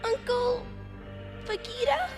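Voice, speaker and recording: Squeaky, pleading little child. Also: female voice, slow pace. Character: small pleading, indignant child. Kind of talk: fan-made anime roleplay voice acting. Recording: music clearly behind the voice.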